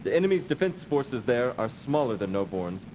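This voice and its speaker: An actor playing a dutiful, cautious officer who gives formal, measured briefings in a video game cut-scene. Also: male voice, mid-range voice, big pitch swings, warm tone, lightly acted. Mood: formal, serious. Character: dutiful, cautious male officer briefing his space commander. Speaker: military adviser in a video game. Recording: dull, noisy old CD game audio.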